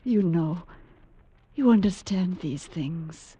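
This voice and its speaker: An actress playing a sensitive, suspicious young wife, pointed and emotional in theatrical old-time radio style. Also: female voice, on the low side, slow, mellow, breathy edge, exaggerated voice. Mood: suspicious, worried. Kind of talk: old-time radio horror drama acting.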